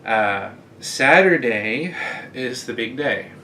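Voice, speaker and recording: A man's voice: medium pitch, lively intonation, takes it slowly, pushed and bright, slight background noise. A man in his late thirties chatting casually to camera from indoors, rambling and joking at his own expense. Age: 30s. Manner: chatty, rambling and self-deprecating. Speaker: vlogger. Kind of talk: casual direct-to-camera chat. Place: indoors, sitting against a bed frame.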